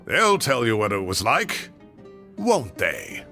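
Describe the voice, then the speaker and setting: An actor playing an elderly, retired professor in a video game, theatrical, proud and easily exasperated. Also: male voice, lowish voice, wildly swooping pitch, takes it slowly, bright, forceful tone, faint music behind, full character voice. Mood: blustering. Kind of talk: scripted game dialogue acting.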